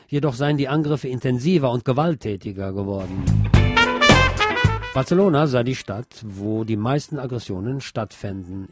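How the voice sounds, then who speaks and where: A man's voice: medium pitch, darkish tone. A man reading scripted radio news as the presenter.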